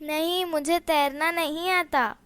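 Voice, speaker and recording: A woman voicing a very high-pitched cartoon cat. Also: steady tone, slow pace, a bit bright, no room sound. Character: meek talking cat, female.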